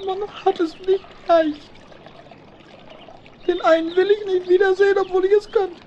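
Very high-pitched little boy hedgehog. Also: fairly even intonation, normal speed, dark tone, dull audio, slight background noise. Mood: forlorn. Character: naive, plaintive little young male hedgehog runaway. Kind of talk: children's radio play acting.

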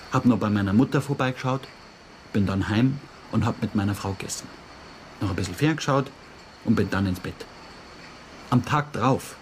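A man's voice: lowish voice, a little hiss or hum, natural voice. An actor playing a spiteful, defensive man with a Bavarian accent under police questioning in a radio crime drama, brusque and indignant. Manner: brusque, indignant, self-justifying. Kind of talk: naturalistic German radio crime drama acting.

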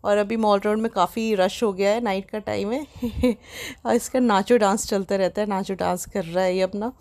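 Chatty excited young woman vlogger. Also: medium pitch, moderate pace. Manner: chatty, excitable, mixes English into Hindi. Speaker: travel vlogger. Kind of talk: casual travel vlog voice-over.

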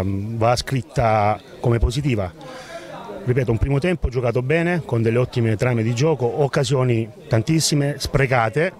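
A middle-aged man with an average-pitched voice talks as a team coach. He gives a post-match interview outdoors, speaking into a reporter's handheld microphone. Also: darkish tone. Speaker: football coach. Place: outdoors beside a sports ground.

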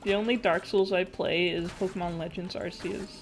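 A young woman streaming a game, chatting casually with her viewers in a nasal voice with vocal fry, giggling often and breaking into excited shrieks. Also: lowish voice, moderate pace, over music. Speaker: VTuber streamer. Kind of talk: casual gaming stream chat, reading chat aloud.

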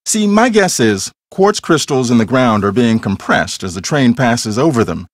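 Video game ghost hunter: cocky, theatrical, earnest, lively pitch swings, dry close sound. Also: male voice, normal speed, no room sound. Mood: earnest, intense. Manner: theatrical, self-assured explaining.

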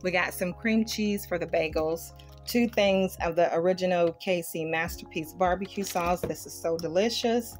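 Low-voiced woman chatting through a grocery haul. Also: moderate pace. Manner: informal, colloquial grammar, itemizing. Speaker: vlogger showing a grocery haul. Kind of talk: casual chat to viewers, product show-and-tell. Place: home kitchen. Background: music.

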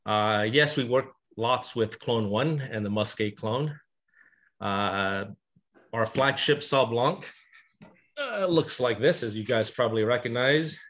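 A man from California speaks in a steady, plain way, dropping small fillers as he goes. His voice is bright and forward, at a middle pitch. He is heard over a video call. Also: takes it slowly. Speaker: winemaker. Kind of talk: video call panel talk.

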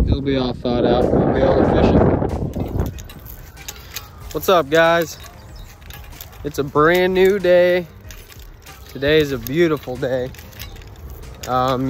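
Casting: American male fishing vlogger, slightly high voice, folksy and informal, music behind. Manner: folksy, informal, plain-spoken. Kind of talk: casual outdoor vlog chat to viewers. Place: outdoors by a river.

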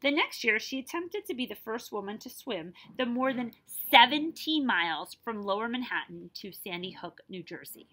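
A woman's voice: on the high side, expressive, moderate pace, few pauses, sharp tone. A woman around her forties reading a children's picture book aloud expressively, stretching key words and adding explanatory asides. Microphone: device camera at about arm's length.

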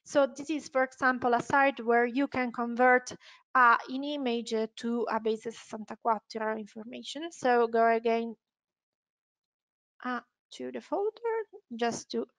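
High-voiced Italian woman giving a software tutorial. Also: measured pace, rough recording. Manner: hesitant, unscripted explaining with self-corrections. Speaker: webinar presenter, software trainer.

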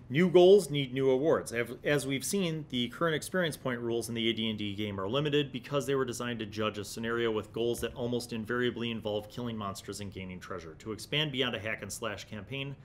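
Male review host, 40s, mid-pitched, emphatic and enthusiastic, reads aloud and comments casually, faint rumble underneath. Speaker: host of a tabletop gaming magazine review series. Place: small indoor studio room. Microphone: desk microphone close in front.

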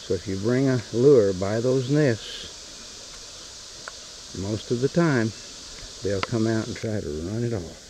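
A man giving casual, unhurried, plain-spoken narration for a fishing vlog, recorded outdoors on a creek with a handheld camera. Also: on the low side. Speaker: angler filming his fishing trip. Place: outdoors in a float tube on a creek. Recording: outdoor creek noise with insects. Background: insects and natural outdoor ambience.

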